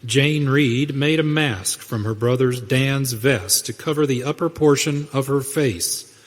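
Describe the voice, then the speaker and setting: A man's voice: medium pitch, unhurried. A man narrating a western radio drama in a scripted, dramatic storytelling style. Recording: reverberant hall sound.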